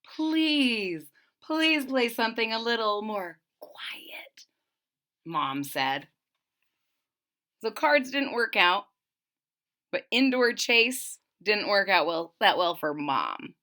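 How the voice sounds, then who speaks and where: A woman reading a picture book aloud to children, expressive and chatty, adding friendly asides between the pages. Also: mid-range voice, slow pace, pauses often. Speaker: storybook reader.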